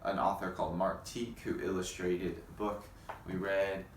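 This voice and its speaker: A man teaching young children in a read-aloud lesson, speaking in simple, deliberate phrases and putting questions to them. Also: low-pitched, level tone. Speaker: elementary school teacher. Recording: echoey room with faint background noise.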